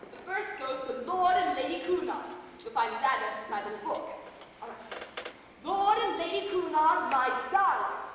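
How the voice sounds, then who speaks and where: An actress playing a glamorous, vain and excitable stage star in a comedy play, with a theatrical, effusive, cooing manner. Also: female voice, high voice, moderate pace. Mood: brisk, grand. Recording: very echoey hall, distant microphone, faint noise.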